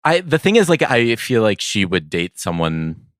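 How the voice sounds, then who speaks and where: A man's voice: lowish voice, sing-song, moderate pace, fairly bright tone, no echo, studio quality. A man co-hosting a podcast in casual chat, musing and agreeable, a little hesitant. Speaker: podcast co-host.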